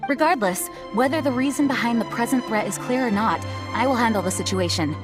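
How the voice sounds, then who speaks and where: An actress playing a dutiful, composed knight commander in a video game, speaking formally and politely. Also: female voice, a little high, brisk, close to an everyday voice. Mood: earnest, concerned. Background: game music.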